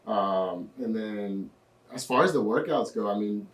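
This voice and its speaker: Low-voiced young man in an echoey room. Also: a little slow, far from the mic. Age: 20s. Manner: relaxed storytelling, many fillers. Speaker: guest. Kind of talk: casual interview.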